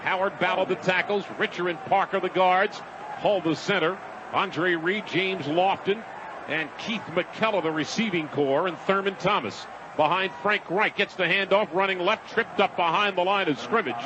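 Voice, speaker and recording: High-pitched American football radio announcer, man. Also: moderate pace, lo-fi sound. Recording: noisy stadium radio broadcast. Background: stadium noise.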